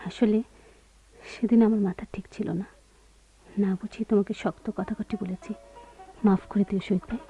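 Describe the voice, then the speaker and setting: A woman acting a fond, apologetic young woman in a radio play, coaxing softly, with sitar behind her on a lo-fi recording. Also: mid-range voice, fairly even intonation, normal speed, pauses often, breathy edge. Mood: coaxing, contrite. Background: sitar music.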